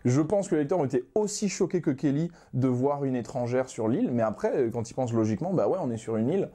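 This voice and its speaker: A young man from Ghana with a mellow, darker voice, chatting easily as a guest. He talks in loose, meandering stretches, full of small pauses and restarts. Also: mid-range voice. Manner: relaxed, many hesitations and restarts. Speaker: manga author guest. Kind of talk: casual podcast conversation.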